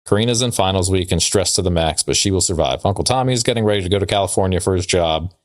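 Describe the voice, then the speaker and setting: Low-voiced man, casual podcast co-host. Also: quick pace. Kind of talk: casual banter and reading old letters aloud.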